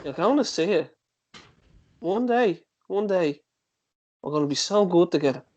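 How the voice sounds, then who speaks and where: An actor playing a belittling, manipulative ex-partner with a condescending, needling manner in a drama table read. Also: male voice, sits high, lively intonation, moderate pace, darkish tone, dull audio.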